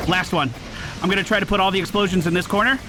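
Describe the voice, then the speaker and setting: A young man commentating his own video game run, excitable and quick to hype big moments. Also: sits high, poor audio. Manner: excitable, shouts and hypes when things go well. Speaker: gaming streamer. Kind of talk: let's play commentary. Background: game music.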